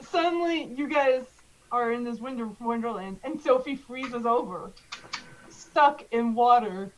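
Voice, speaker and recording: Young woman GM, high voice, slow and halting, faint hiss on the line. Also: low-quality recording. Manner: hesitant, many fillers. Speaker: game master. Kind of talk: narrating a tabletop game.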